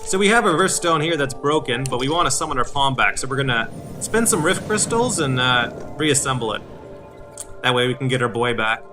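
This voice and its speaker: A man commentates on a video game, explaining things as he plays. His phrasing is a little halting, with words repeated now and then, and his pitch sits in an ordinary male middle range. Music plays clearly behind him. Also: lively intonation. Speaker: gameplay host.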